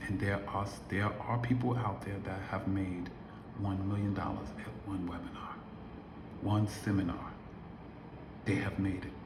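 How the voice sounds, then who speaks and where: Guy in his 50s preaching hustle, punchy, asks lots of questions, boomy roomy sound. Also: steady tone, a little slow, background noise. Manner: emphatic, preachy, rhetorical questions. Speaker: affiliate marketer vlogger. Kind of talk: motivational talk to camera. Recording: echoey room.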